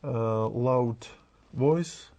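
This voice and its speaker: A man from Russia with a Russian accent, a candidate in a speaking exam, talking in halting, broken phrases. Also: medium pitch, dark tone.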